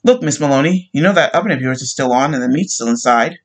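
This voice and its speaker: A man's voice: mid-range voice, animated, fast, natural voice. A man voicing a tired, decent police sergeant who speaks plainly and kindly.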